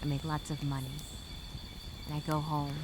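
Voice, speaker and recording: A woman performing a stranded castaway speaking a journal aloud. She is wry and weary, with a sarcastic streak of hope. Crickets and insects chirp clearly behind her. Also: low voice, flat delivery, normal speed, background noise, pitched below the performer's own voice, natural voice. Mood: wistful, tired. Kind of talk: dramatic first-person journal monologue.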